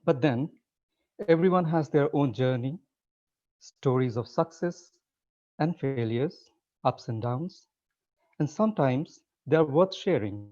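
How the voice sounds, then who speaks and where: Mellow-voiced man, relaxed talk. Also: mid-range voice, takes it slowly, pauses often. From Nepal. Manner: relaxed and conversational, with frequent restarts. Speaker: guest speaker. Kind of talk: informal webinar talk. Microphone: video call. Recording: slightly muffled webinar audio.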